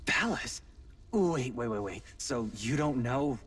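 Young video game hero, medium pitch, halting slow pace, confused, wary, questioning. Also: male voice, animated, breathy edge. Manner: hesitant, questioning. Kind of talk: video game cutscene dialogue.

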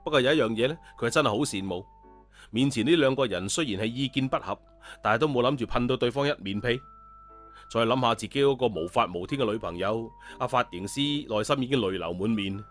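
A man's voice: medium pitch, fast talker, faint music behind. A man narrating a story in colloquial style.

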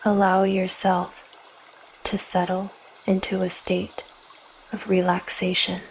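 A woman guiding a yoga nidra meditation in a gentle, soothing, measured way, leaving long pauses between short phrases. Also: medium pitch, monotone, vocal fry, slight background noise, poor audio. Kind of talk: guided meditation, scripted narration.

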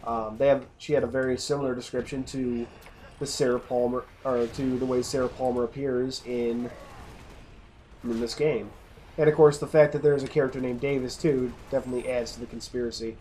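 A man's voice: mid-range voice, steady tone, brisk, warm tone, a little hiss or hum, poor audio. A man casually commenting over gameplay, rambling and often restarting his sentences. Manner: rambling, self-interrupting, casual. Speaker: let's play host.

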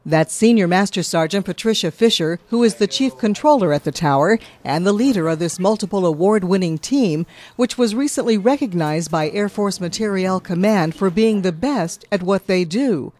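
A woman's voice: medium pitch, expressive, moderate pace, darkish tone. An American woman reporter reading scripted narration for a news feature.

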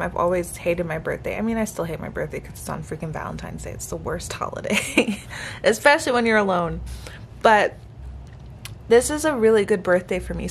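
A woman's voice: on the low side, a little hiss or hum. A woman of twenty-five talking casually and earnestly to the camera from a hotel room, grateful and chatty. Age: 20s. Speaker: vlogger. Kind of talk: casual reflective vlog talk. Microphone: camera held close at arm's length.

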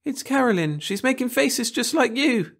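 A man voicing an eager, bossy nine-year-old girl tattletale in a storybook reading, excitable and shrill. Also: normal speed, creaky, full character voice. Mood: excited, tattling. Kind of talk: storybook audiobook character voice.

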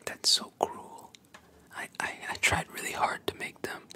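A storyteller voicing an earnest, hesitant little girl in a soft whisper, as in a manga retelling. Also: normal speed, close to an everyday voice. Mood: upset. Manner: hesitant, pleading.

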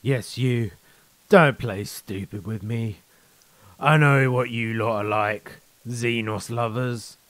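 A captured soldier full of bitter zeal and distrust, played by a male narrator. He speaks accusingly and haltingly in a mid-pitched voice, as a story character recorded dry. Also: steady tone, no room sound, close to an everyday voice. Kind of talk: short story character reading.

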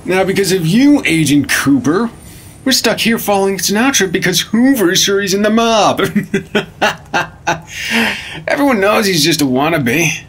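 Man playing a husky, wisecracking agent. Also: sits high, expressive, measured pace, a bit bright, exaggerated voice. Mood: wry, teasing. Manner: teasing, wry. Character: wisecracking, grumbling senior FBI partner, 1950s. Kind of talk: radio drama acting.

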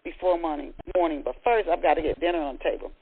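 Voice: mid-range voice, fairly even intonation, fast talker, slight background noise, lightly acted. A reader giving voice to a stressed woman office worker talking to her sister, read aloud over a phone line. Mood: weary. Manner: read aloud, moaning about work.

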